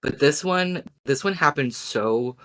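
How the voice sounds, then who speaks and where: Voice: mid-range voice, lively intonation, unhurried, a bit bright, poor audio. A podcast co-host chatting casually and informally, repeating phrases for emphasis.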